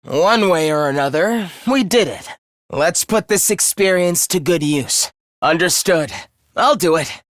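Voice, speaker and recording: An actor playing a polite, earnest young male fighter in a video game, with a youthful, slightly husky voice and formal phrasing. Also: fairly high pitch, expressive, a little slow, fairly bright tone, no room sound, clean studio sound. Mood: composed, grateful. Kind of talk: video game battle lines.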